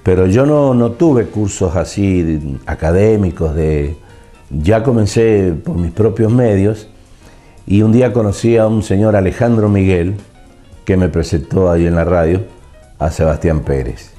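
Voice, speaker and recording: An older man with a Mendoza Argentine accent, reminiscing haltingly in an interview as a veteran radio actor. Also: deep voice, mellow, soft background music. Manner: halting, repeats words as he searches.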